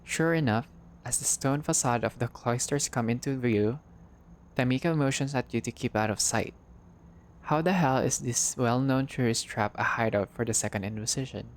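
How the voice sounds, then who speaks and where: A man with a mid-pitched voice narrates a visual novel playthrough. He reads the text like a storyteller and drops in short, casual asides. Clear background noise and ambience sit behind his voice. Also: normal speed. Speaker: gaming channel player reading a visual novel aloud. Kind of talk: playthrough narration, reading game text aloud.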